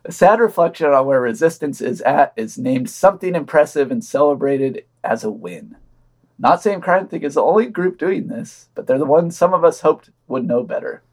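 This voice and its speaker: A man's voice: fairly high pitch, expressive, moderate pace. A man reading a scripted podcast news digest with wry commentary and dry, sardonic asides.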